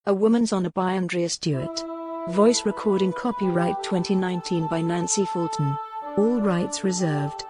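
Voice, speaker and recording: Low-pitched woman narrating an audiobook. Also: moderate pace, warm tone, faint music behind. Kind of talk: scripted dramatic narration of historical romance.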